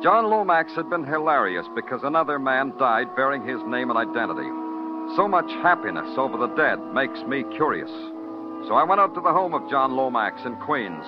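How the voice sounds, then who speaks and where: An American actor playing a wry, hard-boiled police detective in old radio noir style, deadpan and terse. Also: male voice, mid-range voice, lightly acted. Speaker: detective and narrator. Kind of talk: 1950s radio noir acting. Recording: muffled old radio recording with music.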